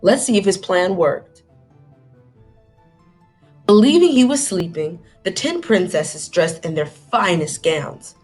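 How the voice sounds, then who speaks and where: A woman's voice: on the low side, expressive, takes it slowly, pauses often, darkish tone, faint music behind. A woman reading aloud to children like a teacher, dramatic and inquisitive, stopping to put questions to young listeners.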